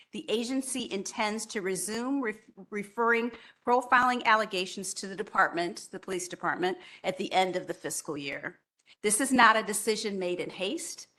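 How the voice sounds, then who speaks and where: A woman chairing a public board meeting, running motions and reading a prepared report in a steady, measured way. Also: medium pitch. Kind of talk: formal meeting remarks.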